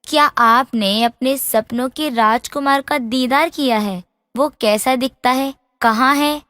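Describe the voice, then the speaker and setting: Casting: encouraging storybook best friend, young, highish voice, solicitous, reverberant sound. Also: female voice, a little slow, fairly bright tone, clean studio sound. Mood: curious, concerned. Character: caring, encouraging young female companion of a princess. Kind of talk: animated fairy-tale voice acting. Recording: long hall-like reverb.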